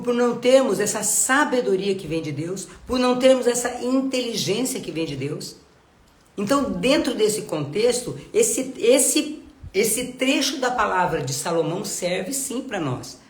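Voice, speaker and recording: A woman's voice: fairly high pitch, lively intonation, normal speed, echoey room. A woman giving a live devotional Bible talk, nasal and congested from a cold, earnest and full of rhetorical questions. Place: home.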